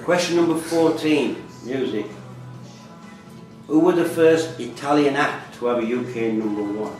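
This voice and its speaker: A man's voice: mid-range voice, a little slow. A man reading out questions as the quiz master at a live quiz. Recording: echoey room, distant mic, loud background music.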